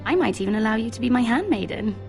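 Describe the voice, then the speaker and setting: A woman playing a young lady-in-waiting in a video game, girlish, eager and gossipy, with an expressive delivery. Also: on the high side, quick pace, music in the background. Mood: playful. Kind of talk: cinematic video game voice acting.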